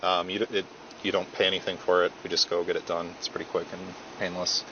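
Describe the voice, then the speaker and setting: A low-pitched police officer talks steadily through a procedure at a quick clip, reading out legal text word for word. His voice comes through a muffled body camera with loud road noise behind it. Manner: calm, patient, reads forms verbatim. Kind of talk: procedural arrest talk.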